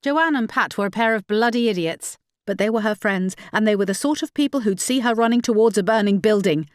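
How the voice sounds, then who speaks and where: A woman's voice: mid-range voice, fairly quick, dry recording, clean studio sound. A woman audiobook narrator reading dramatic fiction from a script.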